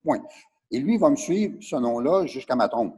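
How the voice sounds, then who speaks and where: A man with a mellow, husky voice and a Quebec French accent speaks as a guest on a discussion podcast. He is emphatic and insistent, haltingly repeating words as he states his opinions. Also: medium pitch, expressive.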